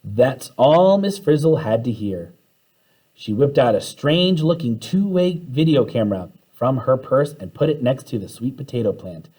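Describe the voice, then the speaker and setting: A man reading a children's story aloud with expressive, dramatic narration. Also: medium pitch, normal speed, husky, rough recording. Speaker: story reader.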